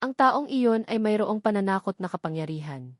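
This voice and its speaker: Female audiobook narrator, measured scripted storytelling, medium pitch, very little room sound. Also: expressive, no room sound, studio quality.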